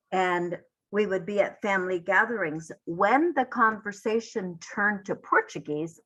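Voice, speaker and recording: An older woman speaking as a panelist, reflecting in a halting way with many pauses. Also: on the low side, fairly bright tone, poor audio.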